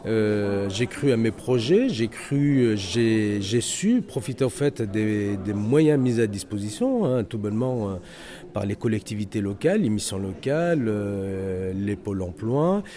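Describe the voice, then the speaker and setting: A man's voice: fairly low pitch, lively intonation, measured pace, dark tone. A man with a North African accent, head of a security training center, giving an earnest, motivational interview full of hesitations and restarts. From Algeria. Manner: hesitant, many fillers and restarts. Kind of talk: interview testimony. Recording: noisy crowd around the speaker. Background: crowd chatter.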